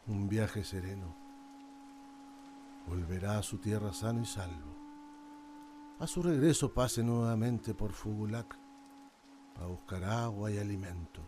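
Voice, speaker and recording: An actor as a weary, deep-voiced old islander. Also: male voice, background noise, lightly acted. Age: older. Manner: solemn, measured, formal. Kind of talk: radio drama acting.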